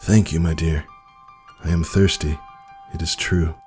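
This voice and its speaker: A man voicing a wise, weary old male pony in a storybook reading, speaking softly in a husky, measured, formal way. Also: very deep voice, fairly even intonation, soft background music, voice pushed up. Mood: gentle, tender. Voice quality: husky, soft, dark.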